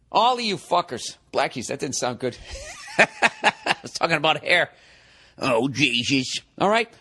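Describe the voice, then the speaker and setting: A man in his forties hosts a comedy podcast, mocking a listener's email. His voice sits high for a man, and the talk stays sarcastic, exasperated and heavy on profanity. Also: sharp tone. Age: 40s. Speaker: podcast host.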